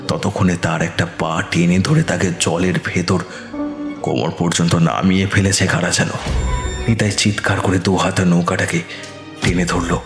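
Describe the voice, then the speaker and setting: A man narrating a scripted horror story in a measured, suspenseful way. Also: sits low, little pitch movement, reverberant. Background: eerie background music.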